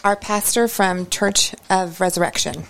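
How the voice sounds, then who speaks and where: A woman's voice: lowish voice, fairly even intonation, measured pace, vocal fry, a bit bright. A middle-aged woman from Oklahoma, giving brief, plain answers in a short school interview. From Oklahoma, USA.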